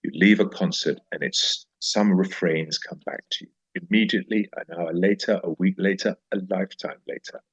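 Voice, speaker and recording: A man's voice: sits low, fairly even intonation, normal speed, few pauses, breathy edge. A middle-aged man speaking as a podcast guest in an emphatic literary discussion, repeating phrases and posing rhetorical questions, on a dull recording. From South Africa. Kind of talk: animated conversational literary discussion.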